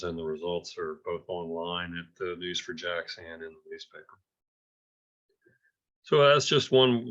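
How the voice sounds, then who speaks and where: Slow, low monotone man, halting delivery. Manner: halting, hesitant delivery with repeated words. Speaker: amateur radio club member giving a report at a meeting. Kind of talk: informal spoken club report. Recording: dull, muffled lo-fi audio.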